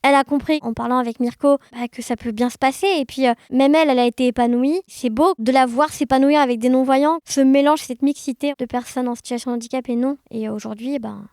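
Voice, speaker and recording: A woman's voice: high-pitched, fairly even intonation, quick pace, few pauses, darkish tone, no echo, studio quality. A woman chatting casually and expressively as an enthusiastic podcast guest. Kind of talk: casual conversation.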